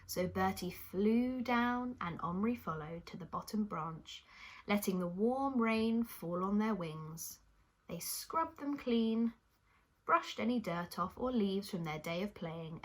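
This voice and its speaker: A woman's voice: medium pitch, expressive, unhurried. A woman hosting a children's puppet show and telling stories, speaking encouragingly and directly to young listeners. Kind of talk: children's presenting and storytelling.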